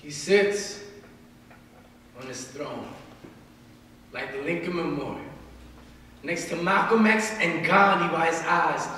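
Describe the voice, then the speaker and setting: A man's voice: fairly high pitch, slow, long pauses, pushed and bright. A man in his early twenties performing spoken word poetry with an emphatic, rhythmic delivery and dramatic swells. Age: early 20s. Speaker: student spoken word poet. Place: event room at a live reading. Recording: very echoey hall, distant mic, faint noise.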